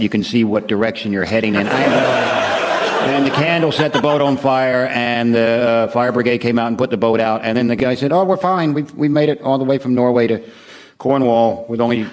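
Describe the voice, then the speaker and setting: A man's voice: medium pitch, background noise. A man telling a rambling comic story as a panel show guest, sounding incredulous.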